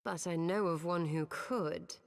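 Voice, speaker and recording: Smooth, low-voiced scholarly sorceress. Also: female voice, unhurried. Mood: thoughtful. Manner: formal archaic diction, poised. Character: composed, scholarly fantasy sorceress, dignified and wry. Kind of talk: scripted fantasy game acting. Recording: long hall-like reverb.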